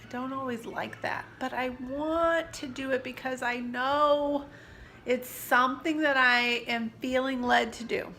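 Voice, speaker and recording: A woman in her forties, stuffed up from allergies, talking softly and confidingly in a personal vlog, sometimes dropping to near-whispers. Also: high voice, fairly even intonation, unhurried, a little hiss or hum. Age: 40s. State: congested from allergies. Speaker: vlogger. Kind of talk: reflective personal vlog talk. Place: sitting indoors at home.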